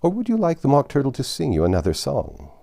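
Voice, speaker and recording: An impatient, bossy gryphon from a storybook, acted out by a man. The voice jumps up high and swings widely in pitch as it gives curt orders. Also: huge pitch swings, quick pace, gravelly, warm tone, no room sound, studio quality. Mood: offended, bossy. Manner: curt, commanding. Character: brusque, impatient gryphon, bossy old creature. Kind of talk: storybook character voice.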